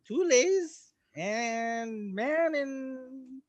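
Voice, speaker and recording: A woman describing comic panels in halting, jokey broken English during a comedic reading. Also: high voice, lively intonation.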